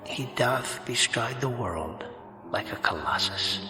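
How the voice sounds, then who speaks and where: An actor playing a calm, sardonic, otherworldly judge in a radio drama, speaking in measured, formal, mocking phrases through heavy reverb. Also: male voice, mid-range voice, heavily acted. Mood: ominous. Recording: long echoing reverb, music behind.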